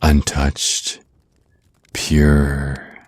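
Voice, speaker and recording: Very deep, slow vampire naga voiced by a man. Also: completely flat delivery, full character voice. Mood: hungry, seductive. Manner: slow, teasing, condescending, threatening endearments. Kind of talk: ASMR roleplay acting.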